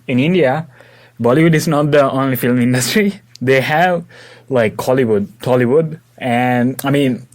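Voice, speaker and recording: A man's voice: mid-range voice, normal speed. A young man hosting a casual interview, chatting informally and adding explanatory asides for listeners. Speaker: podcast host.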